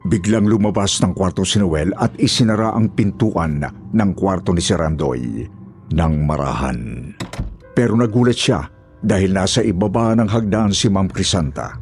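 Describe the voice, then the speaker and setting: A man's voice: deep voice, lively intonation, normal speed, darkish tone, soft background music. A man reading scripted, dramatic narration as a horror story narrator.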